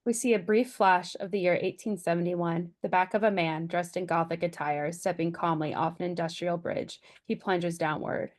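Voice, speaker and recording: A woman reading stage directions as scripted narration, with a creaky voice. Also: fairly low pitch, steady tone, normal speed, few pauses.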